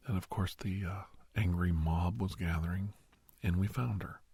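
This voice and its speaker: A man playing a middle-aged doctor who reminisces earnestly in a soft, half-whispered ASMR roleplay, breathy and halting, close to the microphone. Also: no echo, heavily acted. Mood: earnest, reminiscing. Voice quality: breathy, husky, low. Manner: halting, hushed, dramatic pauses. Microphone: close, dry microphone.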